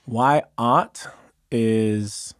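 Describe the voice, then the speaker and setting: A man's voice: medium pitch, very slow, no room sound. A middle-aged man in a casual studio conversation, effusive and wordy, laughing often and searching for words. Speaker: freestyle MC and podcast guest. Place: small studio room. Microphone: studio mic on stand, headphones.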